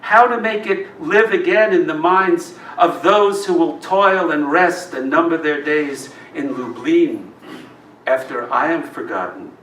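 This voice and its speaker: A man giving an expressive live poetry reading, phrasing each line deliberately and dramatically. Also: fairly high pitch. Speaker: poet reading own work. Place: live reading in a roomy indoor venue. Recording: roomy live recording, distant mic, noisy.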